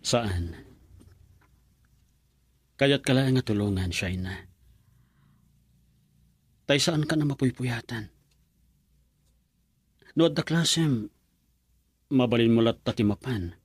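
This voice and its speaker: A man's voice: medium pitch, expressive, takes it slowly, pauses often, husky, dry recording. A man playing a devoted young suitor in a radio romance drama, speaking in an earnest, pleading manner. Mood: earnest, caring. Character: earnest devoted young male suitor, hard-working architect.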